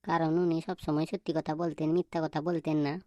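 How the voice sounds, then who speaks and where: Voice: mid-range voice, level tone, fast talker, mellow, no echo, natural voice. A performer voicing a cartoon comedy character in a declarative, storytelling manner. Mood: firm. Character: boastful joking schoolboy and stern classroom teacher.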